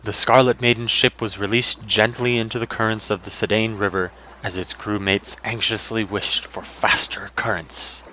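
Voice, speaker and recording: Low, bright-toned male story narrator. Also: fairly even intonation, moderate pace, few pauses, slight background noise, low-quality recording. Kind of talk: scripted narration of a fantasy adventure story.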